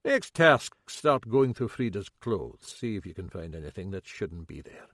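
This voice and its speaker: Man doing a brisk Scottish detective inspector. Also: fairly low pitch, sing-song, a bit bright, no room sound. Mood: calm. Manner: dry, brisk, commanding. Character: shrewd middle-aged Scottish male detective inspector.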